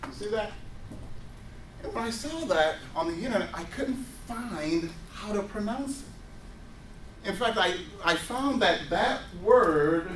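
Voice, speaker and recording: A man in his forties leads a workshop for a live audience, weaving personal anecdotes and acting out the dialogue with animation. His voice sits noticeably high. The recording catches him from across a reverberant room, with a faint hum of ambience behind. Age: 40s. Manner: animated storyteller who acts out dialogue. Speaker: workshop presenter. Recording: roomy room, voice picked up from a distance.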